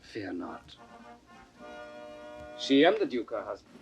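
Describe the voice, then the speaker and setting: A man's voice: lowish voice, very animated, takes it slowly, gravelly, faint music behind, exaggerated voice. A man playing a proud, evasive young military lord, formal and commanding, in classical Shakespeare audio drama style. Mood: guarded.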